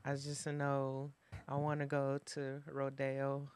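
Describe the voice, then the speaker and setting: A man's voice: mid-range voice, monotone, slow pace, darkish tone, smooth, no echo. A man hosting a casual interview. He asks playful questions and giggles often. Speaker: interview host.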